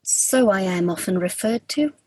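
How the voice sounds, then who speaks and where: A husky female Grim Reaper from a radio play, acted by a woman. She is earnest and socially awkward, choosing stiff, formal words and taking everything literally, in a mid-pitched voice. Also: animated, moderate pace, warm tone, no echo.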